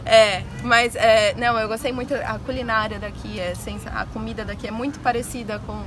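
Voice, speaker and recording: A young woman from Brazil with a Brazilian accent, chatting informally and with slang as an interview guest. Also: fairly high pitch. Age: young adult. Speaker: interview guest, advertising professional. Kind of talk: casual conversational interview. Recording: noisy outdoor urban street ambience.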